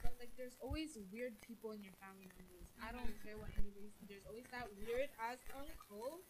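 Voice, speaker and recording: A woman's voice: fairly high pitch, quick pace, noisy background. A seventeen-year-old girl chatting on a podcast, acting out what her relatives said in exaggerated voices. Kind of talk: casual chat.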